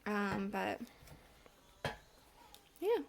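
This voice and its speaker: A woman giving a casual tarot reading, still congested, with a slight cough and a bit winded, talking in a relaxed, chatty way with many fillers. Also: medium pitch, very slow, gravelly, background noise. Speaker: tarot reader.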